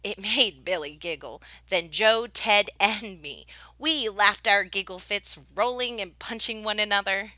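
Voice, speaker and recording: A woman reading a children's picture book aloud as a teacher would, with expressive, deliberate phrasing. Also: mid-range voice, dry recording. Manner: animated, deliberate phrasing for young listeners. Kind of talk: expressive children's storybook narration.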